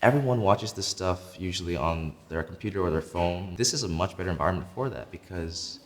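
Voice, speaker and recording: A man organizing the event, talking earnestly in a documentary interview, halting with fillers. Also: low-pitched, in a large hall, a little hiss or hum. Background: music.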